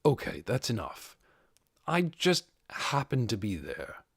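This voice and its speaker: A man voicing a composed, commanding undead overlord with a measured, authoritative manner, in an audiobook. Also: mid-range voice, wildly swooping pitch, breathy edge, no room sound, studio quality, exaggerated voice. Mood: measured, calm.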